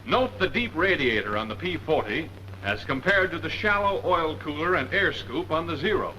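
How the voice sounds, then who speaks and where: A brisk narrator for a 1940s military training film. He is didactic and emphatic, with a bright, somewhat high voice over a faintly noisy vintage soundtrack. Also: lively intonation. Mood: instructive.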